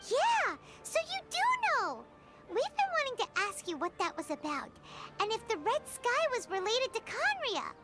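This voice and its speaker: A woman voicing a tiny, excitable, childlike fairy companion in a video game, squeaky, expressive and inquisitive. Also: moderate pace, over music, full character voice. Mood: curious.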